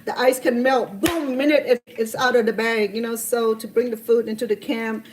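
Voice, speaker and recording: A woman's voice: a little high, steady tone, moderate pace, rough recording. A woman in her sixties from Cambodia with a Cambodian accent, hosting a cooking video and telling her story in an earnest, heartfelt way with many restarts. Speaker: cooking show host.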